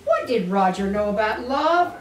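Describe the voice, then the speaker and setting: An older woman, a grandmother, reads a children's story aloud expressively and deliberately, with doting asides to the children. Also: medium pitch, very animated, noisy background. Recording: echoey room, distant mic, music underneath.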